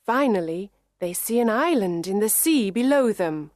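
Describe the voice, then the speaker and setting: A woman reading a children's story aloud in clear, measured narration for English learners. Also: medium pitch, expressive, darkish tone, no room sound, studio quality.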